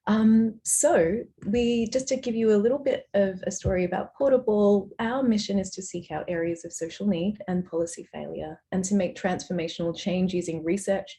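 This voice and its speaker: A woman's voice: mid-range voice, mellow, a little hiss or hum. A woman hosting a panel discussion, slightly hesitant and using fillers. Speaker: panel host.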